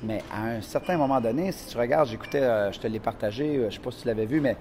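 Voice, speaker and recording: A man's voice: mid-range voice, quick pace, over a noisy crowd. A man with a Quebec French accent, a motivational speaker telling stories in a casual chat and acting out scenes and quotes. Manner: animated, acts out scenes and quotes.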